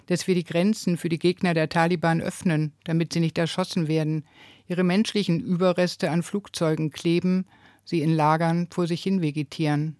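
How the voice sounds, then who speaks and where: An earnest radio preacher reads a scripted devotional in a medium-pitched voice. The delivery is emphatic, built on short phrases and rhetorical questions. The sound is dry, with no room around it. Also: a little mellow, no echo.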